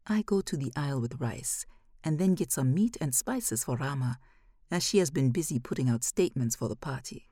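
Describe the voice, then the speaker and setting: A woman reading literary fiction as an audiobook narrator, measured, with phrases often falling to a hush. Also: extremely low pitch, lively intonation, dry recording, studio quality.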